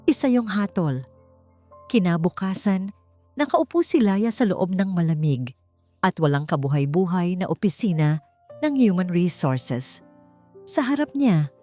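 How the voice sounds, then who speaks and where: A Filipino-accented woman narrates a dramatic audiobook story. Her voice sits somewhat low, and soft music plays behind her scripted delivery. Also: no room sound.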